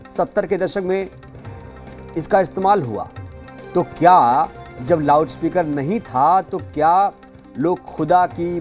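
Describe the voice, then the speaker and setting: A man's voice: on the high side, a little mellow. A man presenting television news as an anchor, with an emphatic delivery that repeats key phrases. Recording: music bed behind voice. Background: news music.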